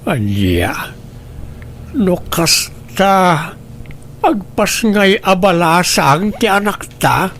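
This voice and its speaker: A man's voice: high-pitched, very animated, extremely slow, pauses often, a bit bright, full character voice. Guy doing worried old dad in a radio drama, stretches his words, asks a lot, faint noise behind. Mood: worried, questioning. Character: older rural father, concerned and inquisitive.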